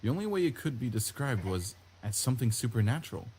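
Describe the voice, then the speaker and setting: A man's voice: mid-range voice, very animated, fast, slight background noise. A man reading a visual novel's story aloud as scripted narration. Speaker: gameplay video host reading a visual novel. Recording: loud game music under the voice.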